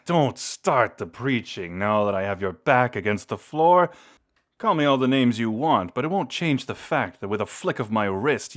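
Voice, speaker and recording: A man voicing a proud, seductive vampire lord in a scripted audio roleplay, speaking in a theatrical, deliberate and intimate way. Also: on the low side. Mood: taunting, gloating. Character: seductive, menacing vampire lord, proud and secretly tender toward lost love.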